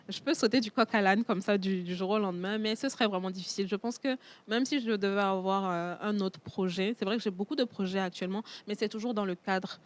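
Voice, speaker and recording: A woman from Togo whose first language is Tchokosi, a perfume entrepreneur speaking as a guest in a TV studio interview, pausing often on fillers. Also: mid-range voice. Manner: hesitant, many fillers and restarts.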